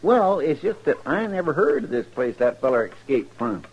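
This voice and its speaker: A man's voice: on the high side, big pitch swings, normal speed, slightly rough, exaggerated voice. A man playing a naive, folksy frontier sidekick with a rural American drawl, rambling and puzzled, in radio drama. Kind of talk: old-time radio drama acting. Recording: dull, hissy old radio recording.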